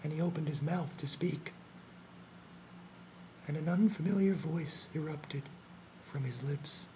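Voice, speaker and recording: A man telling a fairy tale slowly and deliberately, in a hushed storytelling manner. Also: fairly high pitch, a little hiss or hum, rough recording. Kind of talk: slow dramatic fairy-tale narration.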